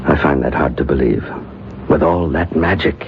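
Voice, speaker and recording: Very deep, grave old medicine man. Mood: grave, counseling. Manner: solemn, measured, formal. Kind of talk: old-time radio drama acting. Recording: muffled, noisy old radio drama recording.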